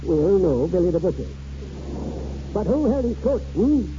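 A man's voice: high-pitched, big pitch swings, normal speed. An actor playing a roguish, boastful old Scottish grandfather with a grand, theatrical way of talking, in 1940s radio drama style. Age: older. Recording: muffled, noisy 1940s radio recording.

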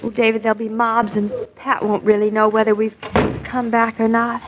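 Actress as a wistful young wife, muffled noisy old radio. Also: female voice, fairly high pitch, monotone, moderate pace, natural voice. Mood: chatty, excited. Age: young adult. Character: Claudia, wistful, self-doubting young wife, former drama student. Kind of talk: 1940s radio drama acting.

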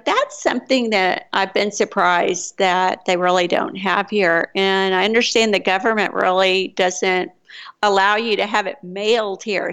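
Chatty Texan woman with gravelly edge. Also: medium pitch, a bit bright. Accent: Texas. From United States. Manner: chatty, rambling with fillers and restarts. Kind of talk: casual chat.

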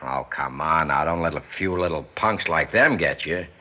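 An actor playing a paunchy, cigar-smoking sports promoter in a 1960s radio drama, persistent and full of slangy sales patter. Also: male voice, rumbling low, animated, normal speed. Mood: persuasive. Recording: muffled, slightly noisy old radio recording.

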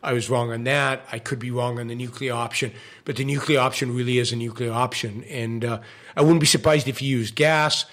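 Opinionated man, voice growing hoarse. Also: mid-range voice, steady tone, fairly quick, a bit bright. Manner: opinionated, many fillers and restarts. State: starting to lose his voice. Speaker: show host. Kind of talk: live-stream commentary with viewer questions.

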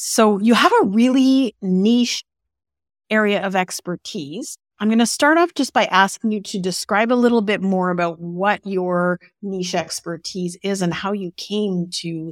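A woman's voice: mid-range voice, no echo, clean studio sound. A woman hosting a podcast, interviewing her guest in a friendly, upbeat way. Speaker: podcast host.

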